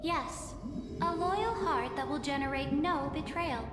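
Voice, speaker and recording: A woman voicing an ambitious, commanding sovereign in a game, regal, deliberate and coaxing. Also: high-pitched. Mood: seductive, commanding. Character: imperious, ambitious female sovereign, seductive and commanding. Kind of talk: dramatic scripted game voice acting. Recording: echoey hall reverb under loud music.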